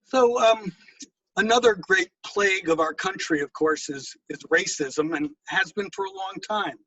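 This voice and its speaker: A male poet reads in a notably high voice with plenty of creak in it. His delivery is measured and deliberate, moving between poems and spoken introductions, and his intonation swings widely. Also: big pitch swings, pushed and bright, no echo, dull audio. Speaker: poet reading own work. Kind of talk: poetry reading with spoken introductions.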